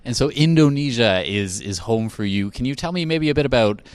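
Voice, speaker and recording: A man reporting for the radio, reading narration and asking interview questions in a calm, steady way. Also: mid-range voice, fairly quick, no room sound.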